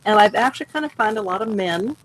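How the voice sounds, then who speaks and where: A woman's voice: medium pitch, expressive, fast talker, slight background noise, rough recording. A woman giving a chakra reading in a casual talk, reassuring and saying okay often. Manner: reassuring, says okay a lot. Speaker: energy healer.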